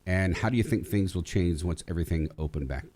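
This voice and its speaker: A man hosting a conversational radio interview, talking in a halting way as he thinks aloud. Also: deep voice, darkish tone. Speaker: radio show host interviewing a former student.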